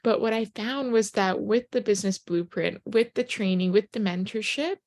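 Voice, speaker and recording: A woman's voice: medium pitch, moderate pace, a little mellow. A woman in her twenties whose first language is Japanese gives an upbeat, earnest motivational recruiting talk on a business call. She speaks in English and leans on "you know" as a filler. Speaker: presenter on a business opportunity call.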